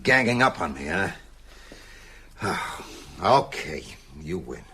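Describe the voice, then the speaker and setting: Insistent old schoolteacher, swooping intonation. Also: male voice, low voice, sing-song, takes it slowly, sharp tone, slight background noise, full character voice. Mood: grudging. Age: older. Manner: insistent, reasoning aloud. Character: ailing old schoolteacher, stubborn, probing and sceptical. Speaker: actor in a radio drama. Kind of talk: radio drama acting.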